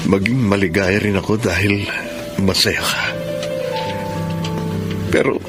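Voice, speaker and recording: Low-voiced heartbroken Filipino man over music. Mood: anguished. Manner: solemn, emotive, dramatic pauses. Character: Mark, heartbroken self-sacrificing jilted ex-boyfriend. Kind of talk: Filipino radio melodrama acting. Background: melodramatic background music.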